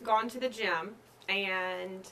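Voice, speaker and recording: Bright-voiced young woman telling her story. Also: medium pitch, fairly even intonation, slow pace, slight background noise. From Georgia, US. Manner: chatty, many ums, quoting others. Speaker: guest, schoolteacher. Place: living-room style studio set. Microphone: lapel mic.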